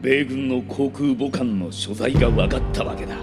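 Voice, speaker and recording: Japanese admiral type, male actor: measured, menacing, middle pitch, game cutscene over music. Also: natural voice. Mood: cold satisfaction. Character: menacing Japanese naval commander, measured and satisfied. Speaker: actor voicing a commander. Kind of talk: video game cutscene dialogue.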